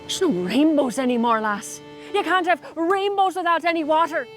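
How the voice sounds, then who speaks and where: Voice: high-pitched, lively intonation, moderate pace, a bit bright, faint music behind. A performer voicing a grumpy, disillusioned leprechaun in a comedy sketch. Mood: bitter, fed up. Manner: curmudgeonly ranting. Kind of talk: scripted comedy sketch dialogue.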